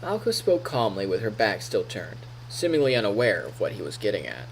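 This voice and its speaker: A man, a streamer reading a visual novel aloud in an expressive storytelling style with casual asides. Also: fairly low pitch, brisk, a little hiss or hum, rough recording.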